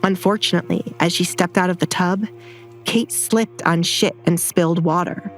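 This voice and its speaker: A woman's voice: lowish voice, fairly even intonation, moderate pace, rough recording. A woman narrator reading a scripted, dramatic audio story with music behind her.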